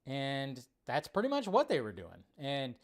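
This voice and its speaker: A man's voice: medium pitch, wildly swooping pitch, a little slow. A man hosting a live stream with casual, enthusiastic, rambling commentary over a video.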